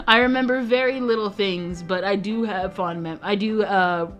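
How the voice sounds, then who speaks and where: A woman's voice: medium pitch, a little slow, fairly bright tone, faint music behind. A young woman streamer chatting casually, rambling, self-interrupting and often giggling. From United States. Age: young adult.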